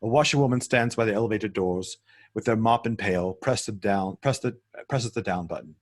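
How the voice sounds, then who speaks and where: A man's voice: on the low side, a little fast. A man narrating stage directions in a script table read, matter-of-fact and occasionally stumbling over words.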